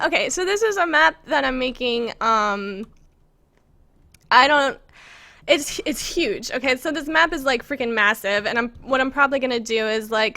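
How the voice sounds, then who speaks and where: American woman, bright sharp tone, vocal fry, rambling casual stream chat, self-conscious, giggly. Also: on the high side. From United States. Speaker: live streamer and game map maker. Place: indoors at a computer in an apartment.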